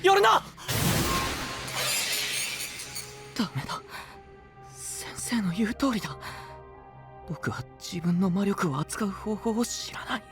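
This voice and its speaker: An actor playing a gentle young knight burdened by devotion, in an anime-style voice drama. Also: male voice, high-pitched, wildly swooping pitch, measured pace, music in the background, heavily acted. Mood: desperate.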